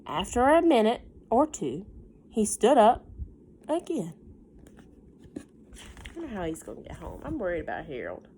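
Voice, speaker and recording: Expressive woman teacher reading to children, noisy background. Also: medium pitch, normal speed, long pauses. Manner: expressive, gentle teacherly talk, prompting listeners with questions. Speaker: teacher reading a picture book aloud. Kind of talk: storybook read-aloud with chatty asides to young children.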